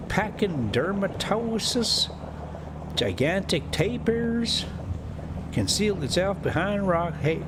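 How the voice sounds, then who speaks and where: Guy reading a story out loud, really animated, jokes when he trips on words, driving noise behind him. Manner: halting over hard words, self-mocking, jokey. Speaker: story reader and host. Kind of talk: storybook reading with casual folksy asides. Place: inside a moving diesel motorhome on a city drive. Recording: clear vehicle rumble behind voice. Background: motorhome engine and road noise.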